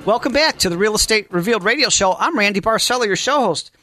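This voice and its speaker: A man's voice: sits high, lively intonation, fast talker, music in the background. A man hosting a live radio talk show from a studio, with an effusive, exclamatory, promotional patter.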